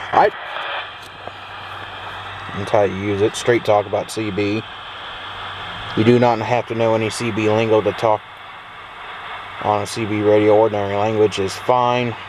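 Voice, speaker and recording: An American man casually talking through a demonstration in an unhurried, rambling way, recorded on a handheld camera inside a pickup truck. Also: fairly low pitch, flat delivery. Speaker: vlogger demonstrating an old CB radio. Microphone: handheld camera, speaker off screen. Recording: very noisy, radio static and vehicle ambience.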